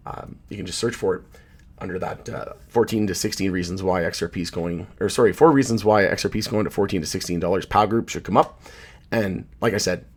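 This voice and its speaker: A man hosting a casual market commentary, hoarse from losing his voice, rambling and correcting himself as he goes. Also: sits low, brisk. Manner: rambling, self-correcting, loose with fillers. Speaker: channel host, market commentator. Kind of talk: casual chart commentary to camera.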